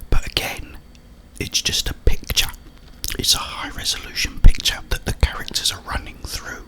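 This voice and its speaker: A man whispering a rambling, halting ASMR monologue about video games, with a breathy unvoiced whisper and frequent pauses. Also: bright, forceful tone, rough recording. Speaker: ASMR creator.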